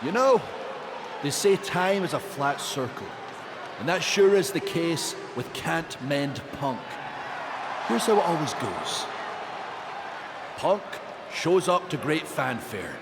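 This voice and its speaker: An actor with a Scottish accent playing a brooding, scornful, menacing pro wrestler in a video game cutscene. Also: male voice, fairly high pitch, sing-song, slow, long pauses, a loud crowd, heavy background noise, heavily acted. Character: brooding, vengeful male pro wrestler in his prime.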